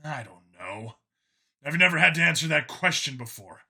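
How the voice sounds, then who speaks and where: A man voicing a jaded, emotionally guarded male cop who talks bluntly and haltingly, questioning himself, in an audiobook story. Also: fairly high pitch, expressive, sharp tone, breathy edge, full character voice. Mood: troubled, unsure.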